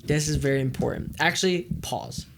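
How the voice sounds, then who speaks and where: A man's voice: mid-range voice, expressive, a little fast, gravelly, noisy background. A man who leads worship, chatting casually on a podcast and explaining things loosely with restarts. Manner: rambling, restarts mid-thought, explains loosely. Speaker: worship leader and podcast co-host.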